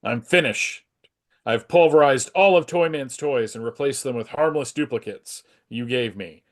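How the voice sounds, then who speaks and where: A man's voice: mid-range voice, moderate pace, natural voice. A man playing a confident, polite comic book superhero, earnest and composed, in a dramatic table read. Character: heroic, polite, confident adult male superhero.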